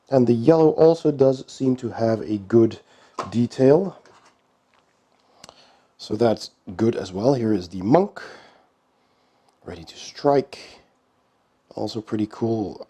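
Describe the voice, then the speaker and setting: A man with a cold speaks in a hoarse, very dark and mellow voice that sits fairly low. He talks very slowly and casually as he hosts an unboxing. His delivery is low-key, with many pauses between thoughts. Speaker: board game channel host.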